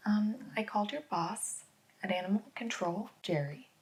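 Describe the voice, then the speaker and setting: Woman playing a hushed, gentle police interrogator. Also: medium pitch, natural voice. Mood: calmly probing. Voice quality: soft, hushed, often slipping into whispers. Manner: slow, reassuring, echoes back answers. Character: gentle, patient female police interrogator taking notes. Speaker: ASMR performer. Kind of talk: improvised soft-spoken ASMR roleplay.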